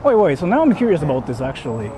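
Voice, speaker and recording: Animated man rambling on a noisy street livestream. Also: a little high, quick pace. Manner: rambling, self-questioning, colloquial and profane. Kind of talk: casual livestream chat, reading viewer comments. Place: outdoors on an urban street, walking. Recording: clearly noisy outdoor city street stream.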